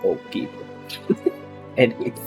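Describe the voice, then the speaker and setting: A man giving casual live gameplay commentary, speaking in halting short phrases and muttered reactions. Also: a little mellow. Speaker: game streamer. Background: faint game music.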